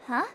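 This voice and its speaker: A curious young girl adventurer from a video game. Her voice is high and bright, lilting widely as she asks pointed questions in an unhurried way. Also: creaky, heavily acted. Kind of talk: English video game dub acting. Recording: music under dialogue. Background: background game music.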